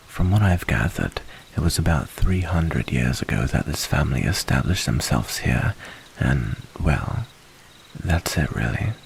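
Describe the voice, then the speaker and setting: Man acting a gravelly, very deep wary werewolf. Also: a little hiss or hum, full character voice, low-quality recording. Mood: confiding, ominous. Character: male werewolf from the woods, wary, earnest, protective. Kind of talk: ASMR roleplay acting.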